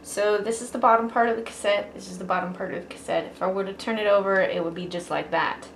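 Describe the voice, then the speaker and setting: Female craft tutorial host, informal step-by-step delivery, medium pitch, roomy echoing sound. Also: fairly even intonation, fast talker. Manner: informal, addresses viewers as guys. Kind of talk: casual step-by-step how-to instruction. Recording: echoey room with faint background noise.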